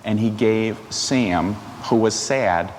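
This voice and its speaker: A man's voice: lowish voice, slow. A middle-aged man reading a picture book aloud to children, playful, with chatty asides. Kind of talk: animated read-aloud story time with asides to children. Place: large church hall, seated among children. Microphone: lavalier clipped to shirt. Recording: reverberant hall, faint background noise.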